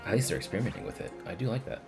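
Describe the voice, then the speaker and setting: Casting: male game streamer, lowish voice, highly varied intonation, casual reactive commentary, music behind. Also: very fast, vocal fry. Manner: animated reactions, casual swearing.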